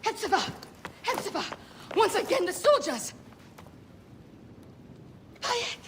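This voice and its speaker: A woman playing a caring, gently bossy Egyptian healer in a video game. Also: very high voice, sing-song, slow, a little hiss or hum, exaggerated voice. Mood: alarmed. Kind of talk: naturalistic video game acting.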